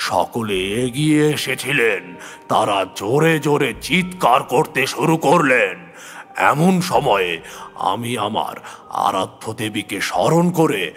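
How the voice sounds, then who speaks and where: Slow, theatrical male horror narrator. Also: mid-range voice, fairly bright tone. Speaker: first-person narrator of horror story. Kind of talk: dramatic scripted horror narration. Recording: long hall reverb, music behind.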